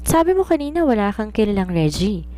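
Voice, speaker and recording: A woman's voice: medium pitch, sing-song, brisk, mellow, voice pushed up, lightly acted. A woman with a Filipino accent reading a cheerful, easygoing older male judge in a storybook. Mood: cheerful, approving. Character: genial older male judge, master of the house.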